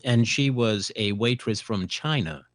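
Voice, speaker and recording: A man's voice: fairly low pitch, a little slow, no room sound, clean studio sound. A man hosting a television interview, chatting and telling stories from his own life. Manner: conversational, storytelling. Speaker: host. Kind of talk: talk show interview.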